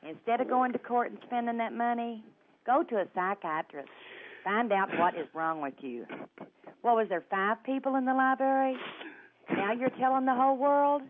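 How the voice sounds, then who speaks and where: A woman's voice: a little high, normal speed. A woman calling a radio show by telephone, speaking in a blunt, scolding, argumentative manner. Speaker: radio phone-in caller. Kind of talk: casual argumentative phone-in chat. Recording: narrow phone line with faint noise.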